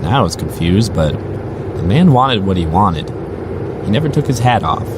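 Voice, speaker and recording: A man's voice: deep voice, very animated, normal speed. A man playing a weary, musing rideshare driver who narrates eerie stories in a conversational, first-person audio drama style. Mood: wry, uneasy. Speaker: narrator and lead character. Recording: clearly noisy, car and street ambience.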